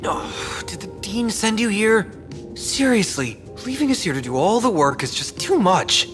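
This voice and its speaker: A man's voice: high voice, sing-song, normal speed, music in the background. A man playing an impatient, sarcastic young student in an anime-style game, complaining in an exasperated manner.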